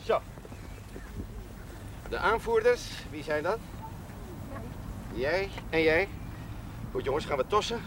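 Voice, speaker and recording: A man's voice: on the high side, lively intonation, slow pace, long pauses, background noise. A stern fatherly village priest. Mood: firm. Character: parish priest refereeing a boys' football game. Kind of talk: 1970s TV drama acting.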